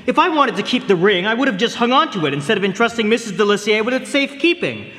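A man's voice: high voice, sing-song, quick pace, a bit bright. A man playing an earnest, defensive young suitor in a live radio mystery. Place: live performance hall. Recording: echoey stage in a large hall.

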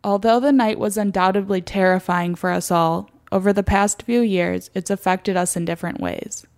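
A woman's voice: mid-range voice, steady tone, moderate pace, darkish tone. A young woman reading a scripted first-person documentary narration. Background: music.

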